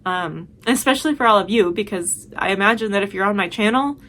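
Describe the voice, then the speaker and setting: A woman's voice: medium pitch, fairly quick, fairly bright tone, a little hiss or hum. A woman talking casually as a video host, giving chatty, emphatic and enthusiastic recommendations.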